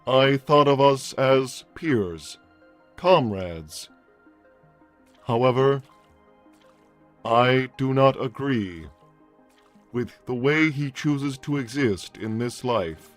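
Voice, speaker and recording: A man playing a formal robot peace consul with stilted, deliberate phrasing and a wounded, bitter temper, in a livestreamed roleplay. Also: medium pitch, animated, soft background music. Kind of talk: improvised character roleplay on a livestream.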